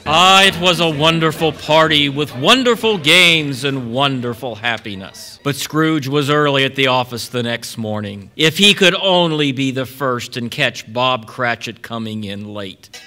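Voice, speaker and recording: A man's voice: medium pitch, a little slow, pushed and bright, soft background music. A man narrating and announcing a radio play in an old-time 1940s broadcast style. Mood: storytelling.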